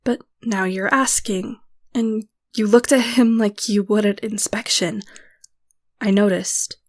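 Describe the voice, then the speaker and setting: A woman playing an anxious, protective wife and mother in an audio drama, halting and emotional. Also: mid-range voice, steady tone. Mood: worried.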